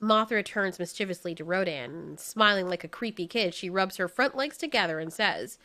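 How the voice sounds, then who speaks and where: A woman narrating a scripted story in an expressive, storytelling style. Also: medium pitch, moderate pace.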